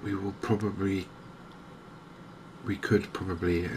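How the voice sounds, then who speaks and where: Slow, low-pitched, soft-spoken man. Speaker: gaming video host. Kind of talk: softly spoken ASMR game commentary. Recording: clear steady background noise.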